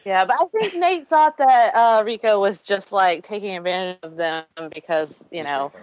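A woman's voice: medium pitch, expressive, normal speed, poor audio. A woman co-hosting a podcast, talking informally and conversationally in a casual discussion. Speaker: podcast co-host.

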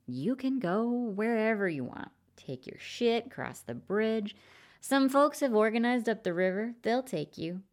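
A woman voicing a laid-back man guarding a bridge, speaking calmly in a casual drawl. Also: high voice, unhurried, pitched above the performer's own voice.

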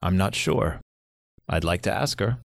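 An actor in an audio drama playing a courteous, lonely grandfather in his seventies, speaking gently and reflectively. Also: sits low, animated, unhurried, creaky, dry recording, clean studio sound, heavily acted. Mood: gentle, courteous.

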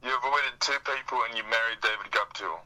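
A man's voice: medium pitch, fast talker, bright, forceful tone. A man chatting casually as a guest on a tinny phone call. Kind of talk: casual chat. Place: on a phone, parked car.

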